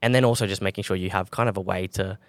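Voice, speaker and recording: A young man, a brand co-founder, talking calmly as a podcast guest, with lots of fillers and restarts. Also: sits low, rapid-fire, no echo. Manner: calm, relaxed, with many fillers and restarts. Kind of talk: casual podcast interview.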